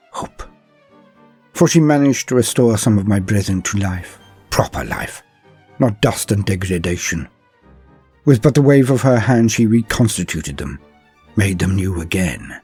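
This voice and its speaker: An ancient sorcerer warlord, acted by a man in a horror audio tale, tormented and grandiose. The voice is low and husky. He speaks in slow, solemn, theatrical phrases with music underneath. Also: darkish tone, heavily acted. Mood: portentous wonder. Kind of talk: dramatic first-person horror audio tale.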